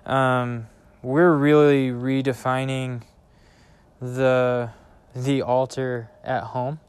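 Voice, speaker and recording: A man who works as a youth pastor, talking casually in a slow, thoughtful way with many ums, recorded outdoors by a backyard bonfire. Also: medium pitch, flat delivery, clear, resonant tone, no echo. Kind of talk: casual conversation.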